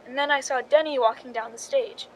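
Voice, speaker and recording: A woman's voice: high voice, steady tone, fairly quick, rough recording. An actress playing a wry, knowing film stand-in who confides her story in a radio drama, in an understated way. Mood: confiding, wry. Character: wry, cool young woman, film stand-in and scheming narrator. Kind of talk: radio drama acting with confessional narration.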